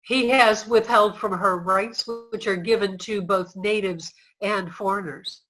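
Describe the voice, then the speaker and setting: Zealous female campaigner, played by a woman, firm, sharp-toned, mid-pitched, formal stage reading. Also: steady tone, unhurried, natural voice, low-quality recording. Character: zealous, firm 19th-century women's rights preacher. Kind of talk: reader's theater recitation.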